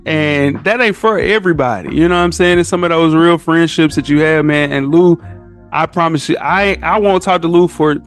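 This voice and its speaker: A man's voice: a little high, moderate pace, soft background music. An American man chatting casually as a podcast guest, in slangy talk full of "man", "bro" and "you know". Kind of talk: casual chat.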